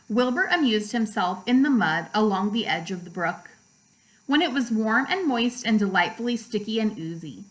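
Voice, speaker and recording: A woman narrating a children's book aloud like a teacher, with measured, deliberate phrasing for young listeners. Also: medium pitch, fairly bright tone, rough recording. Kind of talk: storybook read-aloud narration.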